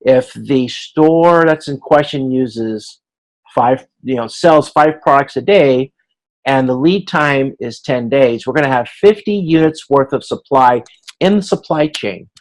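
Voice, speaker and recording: A man teaching an informal online class, explaining step by step in a halting way and voicing hypothetical exchanges as examples. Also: medium pitch, poor audio. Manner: explanatory, halting, checks understanding, acts out hypothetical dialogue. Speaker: instructor. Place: video conference class.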